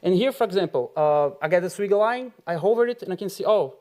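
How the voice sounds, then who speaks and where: A man with a Brazilian Portuguese accent, his voice a touch high, talks to an auditorium crowd. He rambles in a loose, chatty way, full of fillers and little laughs. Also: lively intonation, quick pace, darkish tone. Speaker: conference speaker. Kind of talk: tech conference talk.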